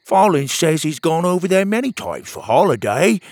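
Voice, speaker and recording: A man voicing an upbeat young companion who teases and reassures, in a high, animated voice. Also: normal speed, no room sound. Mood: reassuring. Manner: teasing, reassuring.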